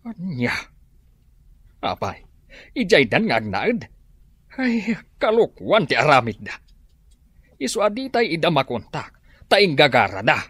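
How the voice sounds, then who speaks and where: An older farming father, stern and fed up with his children. His husky voice rises and falls widely, and it sounds dry and close. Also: a little high, sing-song, measured pace, long pauses, fairly bright tone, no room sound, full character voice. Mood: exasperated. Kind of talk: radio drama acting.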